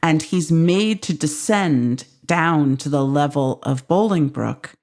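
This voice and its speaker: A woman's voice: sits low, takes it slowly, darkish tone. A woman speaking as an expert commentator, explaining analytically in an interview.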